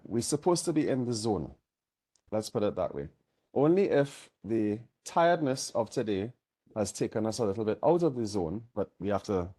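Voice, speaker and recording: Man, slightly nasal from a cold, low voice, mellow tone, relaxed chairing, video call. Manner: easygoing, chatty, careful diction. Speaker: meeting chair. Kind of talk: chairing an online meeting.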